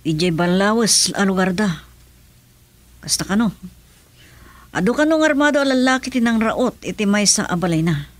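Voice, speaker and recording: A woman with an Ilocano accent playing a brisk, businesslike aide giving reports in a radio drama. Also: medium pitch, expressive, no room sound, lightly acted. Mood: concerned, informing.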